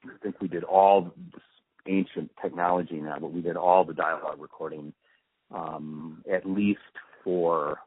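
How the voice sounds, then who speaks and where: A man being interviewed, giving reflective, halting answers as he thinks aloud through technical explanations. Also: low-pitched, slightly rough, no echo. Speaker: interviewee, radio drama post-production supervisor. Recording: muffled narrow phone-like line.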